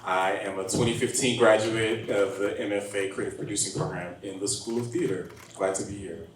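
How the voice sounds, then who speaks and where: A man speaking on a panel in a measured, articulate and earnest way. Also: on the low side, fairly even intonation. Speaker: creative producer, organizer. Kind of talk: panel discussion. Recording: echoey hall, distant mic, faint hiss.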